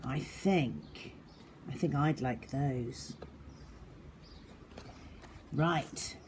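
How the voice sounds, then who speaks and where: A woman's voice: very deep voice, extremely slow, darkish tone, a little hiss or hum. A woman reading a children's story aloud, addressing young listeners simply and directly like a primary teacher.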